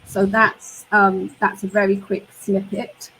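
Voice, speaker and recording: A woman's voice: mid-range voice, level tone, slow pace, fairly bright tone, slight background noise, rough recording. A woman in her fifties from the United Kingdom, co-hosting a livestream chat in an upbeat way and leaning on repeated words for emphasis. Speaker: co-host and online business mentor.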